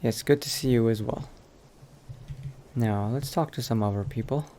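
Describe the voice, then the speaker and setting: A man's voice: medium pitch, normal speed, dark tone, slight background noise. A man doing casual, improvised roleplay commentary as he plays a video game, laid-back and hesitant. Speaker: gamer roleplaying as his character.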